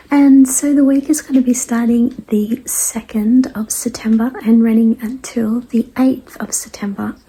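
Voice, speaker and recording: A woman with a dry, croaky voice, getting over a cold and clearing her throat, chats casually to followers as host of a weekly show in an unhurried, hesitant, rambling way. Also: on the high side, steady tone, dark tone, poor audio. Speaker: artist hosting a weekly message show. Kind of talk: casual vlog chat to followers.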